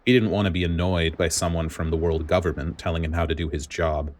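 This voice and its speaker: A man's voice: low-pitched, fairly even intonation, fast talker. A man reading scripted fiction aloud as a story narrator.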